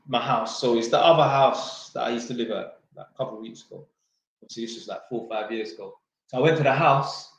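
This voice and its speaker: A man reads out viewer questions and answers them bluntly in casual, slangy chat, swearing freely and joking. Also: medium pitch. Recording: very echoey room.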